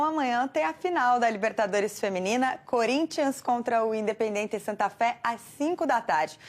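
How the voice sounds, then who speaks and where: A woman presenting sports news in a studio, talking conversationally with her co-anchor. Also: fairly high pitch. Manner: conversational, hands over to co-anchor.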